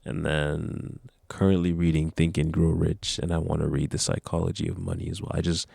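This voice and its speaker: A man's voice: deep voice, flat delivery, fairly quick, gravelly, darkish tone, no echo. A nineteen-year-old man talking casually to camera, giving earnest, rambling motivational advice. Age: young adult. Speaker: vlogger.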